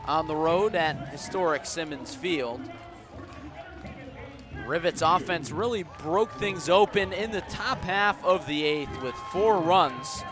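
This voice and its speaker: A man doing live radio play-by-play of baseball as the announcer, with a measured delivery that rises on the action. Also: fairly high pitch, over music, a crowd in the background. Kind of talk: live radio sports commentary. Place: outdoors at a baseball stadium. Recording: outdoor ballpark ambience noise behind.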